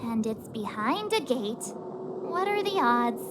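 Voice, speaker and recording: A woman's voice: fairly high pitch, sing-song, takes it slowly, noisy background. An actress playing a cheerful, curious young girl sidekick in a video game, with a cheeky manner. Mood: excited.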